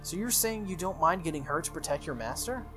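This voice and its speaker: A man's voice: a little high, animated, a little fast, voice pushed up, close to an everyday voice. A man voicing an earnest, hesitant teenage boy, a reluctant young master in a visual novel. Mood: startled, incredulous. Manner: incredulous, casual, hesitant. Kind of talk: visual novel character read-aloud. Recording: music under the voice.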